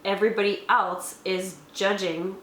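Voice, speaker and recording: A woman's voice: mid-range voice, steady tone, moderate pace. A woman co-hosting a review, explaining things in a conversational way. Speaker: co-host of a tabletop game review. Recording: roomy echo, faint background noise.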